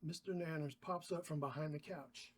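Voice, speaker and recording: A man's voice: on the high side, fairly even intonation, normal speed, slightly rough. A man narrating stage directions from a script at a casual table read. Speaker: actor reading stage directions.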